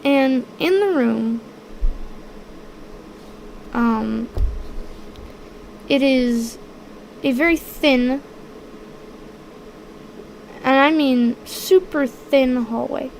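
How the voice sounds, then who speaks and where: A woman's voice: high-pitched, extremely slow, creaky, pauses often, a little mellow, a little hiss or hum, low-quality recording. A woman acting as game master, describing scenes hesitantly while searching for words and laughing easily. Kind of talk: improvised tabletop game narration.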